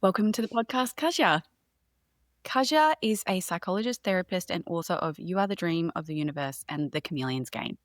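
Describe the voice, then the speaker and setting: A woman hosting a podcast, reading an upbeat scripted intro and then interviewing with emphatic, drawn-out phrasing. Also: lowish voice, no echo, soft background music. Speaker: podcast host.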